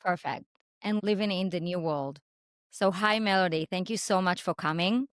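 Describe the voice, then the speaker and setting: A woman's voice: fairly low pitch, normal speed, smooth, dry recording, studio quality. A woman whose first language is Hebrew, hosting a podcast interview over a video call, speaking enthusiastically with lots of fillers. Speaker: podcast host. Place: home in Dallas.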